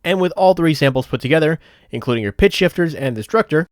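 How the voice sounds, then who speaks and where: A man narrating a software tutorial step by step in a methodical, explanatory way. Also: a little high, lively intonation, quick pace, no room sound. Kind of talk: step-by-step instructional voice-over.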